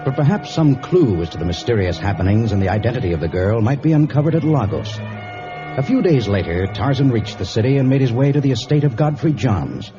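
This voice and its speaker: A man narrating a vintage radio adventure serial in a theatrical, deliberate, suspenseful manner. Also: mid-range voice, animated, lightly acted. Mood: suspenseful, dramatic. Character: dramatic male storyteller of an adventure serial. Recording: muffled old radio recording. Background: loud dramatic music.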